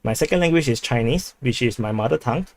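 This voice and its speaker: A man's voice: medium pitch, expressive, fast talker. A man from Singapore with a Singaporean English accent, hosting a casual livestream, rambling with many restarts while reading chat aloud. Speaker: livestream host.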